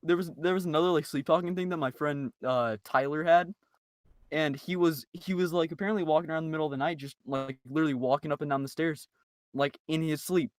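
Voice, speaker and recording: A man's voice: fairly high pitch, steady tone, quick pace. A man chatting casually on a podcast, rambling and repeating himself as he tells anecdotes. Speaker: podcast co-host. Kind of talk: casual chat.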